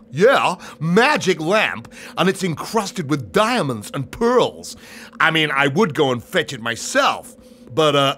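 Scheming old wizard uncle for a kids tale, super hammy, booming, swoopy high pitch. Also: male voice, a bit bright, a little hiss or hum. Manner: booming, theatrical, drawn-out, wheedling or commanding. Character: scheming, bombastic old wizard posing as long-lost great-uncle. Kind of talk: dramatised children's storytelling.

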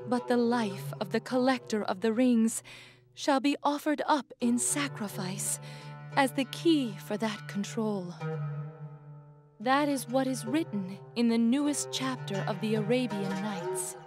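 Woman playing a high-voiced, earnest young genie girl. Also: a little slow, close to an everyday voice. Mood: solemn. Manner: earnest, polite, formal. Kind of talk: video game voice acting. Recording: music behind the voice.

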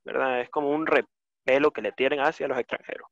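A man's voice: mid-range voice, fairly even intonation, fairly quick, fairly bright tone, no echo. A young man from Nicaragua, explaining things didactically as a webinar panelist over dull, lo-fi call audio. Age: young adult.

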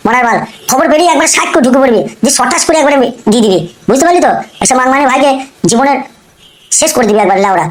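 A woman's voice: high-pitched, animated, very fast, slight background noise. A woman voicing a short-tempered, foul-mouthed male gangster boss in a comic cartoon, blustering and repeating curses. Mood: commanding. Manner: blustering, curse-laden, repetitive. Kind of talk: amateur comic cartoon dialogue.

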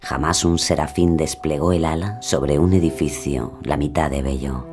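An ominous, haunting presence speaks in an extremely low voice. The delivery is eerie, insinuating and poetic, as in a gothic radio play. Music runs beneath it. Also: male voice, fairly even intonation, moderate pace, a little mellow. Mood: solemn recital. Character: sinister, ominous male inner voice haunting the story. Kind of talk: gothic audio drama, poetic recitation.

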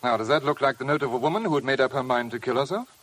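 An actor playing a smug, suave blackmailer with an insinuating, mock-polite manner in a 1950s radio drama. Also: male voice, mid-range voice, very fast, a bit bright, lightly acted. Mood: feigned innocence. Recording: noisy old 1950 radio broadcast.

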